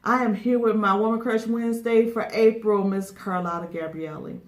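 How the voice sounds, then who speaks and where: A woman's voice: mid-range voice, steady tone, normal speed, far from the mic. A woman hosting her channel, interviewing a coworker with upbeat introductions and short encouraging replies. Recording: roomy sound, voice off-mic.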